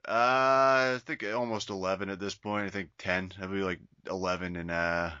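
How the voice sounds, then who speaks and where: A man's voice: sits low, fairly even intonation, normal speed, bright, forceful tone, clear, resonant tone, dry recording. A man co-hosting a podcast, talking in loose, rambling banter and swearing constantly. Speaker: podcast co-host. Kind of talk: casual crude banter. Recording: slightly dull lo-fi sound.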